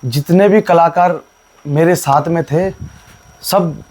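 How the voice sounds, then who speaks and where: Hoarse Garhwali man, higher voice. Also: slow pace, darkish tone. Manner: plain, earnest storytelling. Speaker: folk singer, guest. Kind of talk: casual interview and folk singing.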